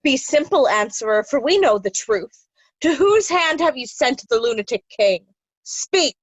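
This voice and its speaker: A cold, scornful noblewoman of Shakespearean tragedy, played by a woman. Her voice is high and recorded dry and close. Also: expressive, unhurried, dry recording, dull audio. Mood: sharp, accusing. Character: vicious, haughty noblewoman Regan, cold and scornful. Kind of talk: Shakespearean readers' theatre.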